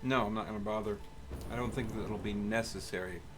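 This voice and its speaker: A man's voice: fairly low pitch, fairly even intonation, brisk, over music. A man in casual gameplay chat with co-players, thinking aloud and explaining as he goes. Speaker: gaming streamer, host.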